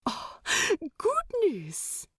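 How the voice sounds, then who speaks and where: Woman doing a fussy flustered angel guy, stammers a lot, slow and swoopy. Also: very high voice, wildly swooping pitch, no echo, studio quality, pitched above the performer's own voice, full character voice. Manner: stammering, fretful.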